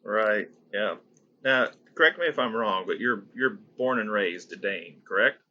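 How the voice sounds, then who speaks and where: A man from the United States hosting a casual podcast interview, upbeat and chatty, quick to laugh. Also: on the low side, expressive, bright, forceful tone, lo-fi sound. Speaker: podcast host.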